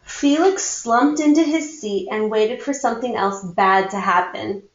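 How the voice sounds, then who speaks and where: Woman read-aloud storyteller for schoolchildren, slow and very lively in pitch, echoey room. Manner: acts out dialogue, pauses to comment to listeners. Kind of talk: expressive children's picture book read-aloud. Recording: muffled, echoey room recording.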